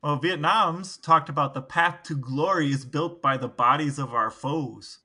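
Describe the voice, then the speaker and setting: A man co-hosting a podcast in casual banter, explaining things in a measured way. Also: a little high, sharp tone, husky. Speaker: podcast co-host.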